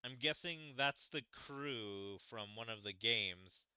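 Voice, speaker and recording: A man's voice: mid-range voice, lively intonation, slow pace, sharp tone, smooth, no room sound, clean studio sound. A man streaming a video game, giving casual running commentary and speculating aloud as he plays. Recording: game music behind. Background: video game music.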